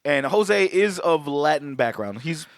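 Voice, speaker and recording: A man's voice: on the high side, animated, a little slow, no room sound, clean studio sound. A young man co-hosting a podcast, rambling in casual chat with many restarts. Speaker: podcast co-host.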